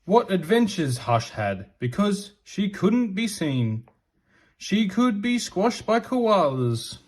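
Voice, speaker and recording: Animated man reading slowly to children. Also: high voice. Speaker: storybook reader. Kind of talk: expressive read-aloud narration for children.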